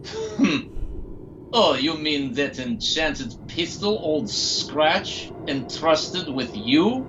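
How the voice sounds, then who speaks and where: A man's voice: fairly high pitch, huge pitch swings, very slow, lo-fi sound, noisy background, full character voice. A man playing a gloating, controlling villain scientist in an audio drama, pompous and taunting. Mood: smug, taunting.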